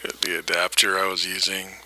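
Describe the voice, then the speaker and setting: A man's voice: sits low, monotone, normal speed, pushed and bright. A man thinking aloud in a casual, unscripted hobbyist demonstration, rambling and tentative, speaking through an old tape-recorder microphone. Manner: rambling, tentative, self-deprecating. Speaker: hobbyist vlogger demonstrating vintage audio gear. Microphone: old reel-to-reel recorder microphone. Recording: noisy vintage tape-recorder microphone, hiss.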